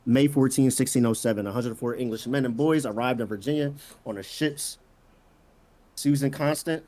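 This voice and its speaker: A man hosting a casual quiz with friends, reading out questions and answer options at an unhurried pace. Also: medium pitch, dark tone. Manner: reads answer options slowly, teases players. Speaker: quiz host.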